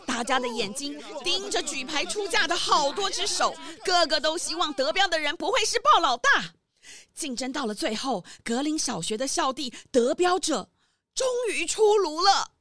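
High, animated woman storyteller. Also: moderate pace. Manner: suspenseful. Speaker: narrator. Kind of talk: dramatic children's story narration. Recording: crowd murmur behind the voice.

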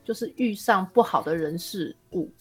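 A woman's voice: mid-range voice, animated, normal speed, a little mellow, breathy edge, faint music behind. A woman with a Taiwanese accent chatting casually on a podcast, readily agreeing with the others. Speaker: podcast speaker on self-publishing. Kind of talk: casual chat.

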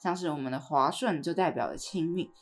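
A woman's voice: low-pitched, fairly quick, faint music behind. A woman with a Taiwanese accent hosting a podcast in a conversational style. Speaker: podcast host.

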